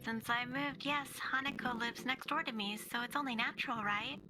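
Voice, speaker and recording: A woman playing a gentle, courteous teenage schoolgirl in a visual novel, composed and politely formal. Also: a little high, normal speed, background noise, natural voice. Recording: loud music under the voice.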